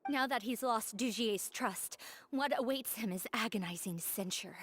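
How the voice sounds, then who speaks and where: A woman's voice: on the high side, moderate pace, fairly bright tone, slight background noise, natural voice. An actress playing a frightened, deferential young woman prisoner in a video game, hesitant, pleading and apologetic. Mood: anxious, urgent. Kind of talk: scripted video game voice acting. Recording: faint music under the voice.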